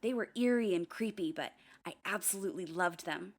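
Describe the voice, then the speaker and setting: A young woman playing a narrator who recounts eerie experiences in a measured, confiding way, in an audio drama. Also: medium pitch, natural voice. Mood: confiding, serious.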